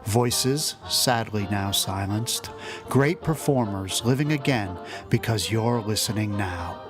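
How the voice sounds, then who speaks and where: A man's voice: on the low side, slow. A man hosting a podcast, reading a scripted introduction as narration. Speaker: podcast host. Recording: voice over clear music.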